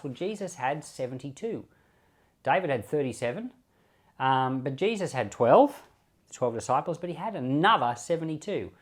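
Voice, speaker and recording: An Australian man speaking as a pastor, explaining scripture in an informal, conversational preaching style, often repeating short phrases. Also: fairly high pitch. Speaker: pastor and Bible podcast host. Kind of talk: informal Bible commentary and preaching.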